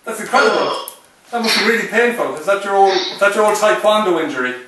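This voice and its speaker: A man interviewing a poker player in a teasing, excitable way, often stumbling and restarting his sentences. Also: high-pitched, fast talker. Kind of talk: joking casual chat. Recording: echoey room, voice from far off, faint noise.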